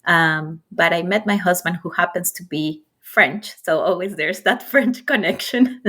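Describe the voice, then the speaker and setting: A woman's voice: on the low side, unhurried, husky. A middle-aged woman from Ecuador with a Spanish accent tells her career story casually and animatedly as an interview guest. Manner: animated, chuckles often between phrases. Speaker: interview guest, nonprofit marketing executive.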